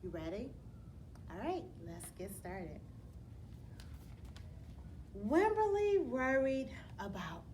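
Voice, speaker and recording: A woman reading a children's bedtime story aloud in a teacherly, expressive way, putting questions to her young listeners as she goes. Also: on the high side, slow pace, pauses often, slight background noise. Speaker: elementary school storyteller reading to students.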